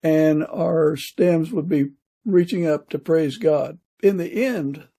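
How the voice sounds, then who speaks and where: A man speaking as a podcast guest in casual, reflective conversation, thoughtful and a little halting. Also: on the high side, gravelly, mellow.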